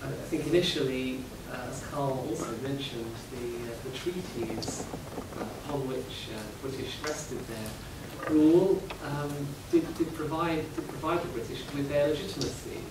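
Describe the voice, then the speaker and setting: A man's voice: mid-range voice, normal speed, hardly any pauses. A man on an academic panel speaking hesitantly, with many fillers and restarts. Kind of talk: academic round-table discussion. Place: seminar room. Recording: echoey hall, distant mic, background noise.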